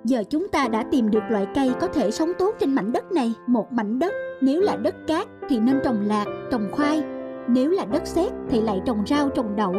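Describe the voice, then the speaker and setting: High-voiced gentle young wife. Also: female voice, expressive, moderate pace, over music. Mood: tender, encouraging. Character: gentle, patient, encouraging young wife in a folk-tale cartoon. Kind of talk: animated moral-tale voice acting.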